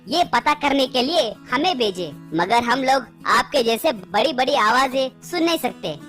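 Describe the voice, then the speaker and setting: A woman's voice: high voice, bright, forceful tone, exaggerated voice. A woman voicing a polite little forest dwarf in a cartoon story, courteous and deferential. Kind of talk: cartoon story character acting. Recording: music behind the voice.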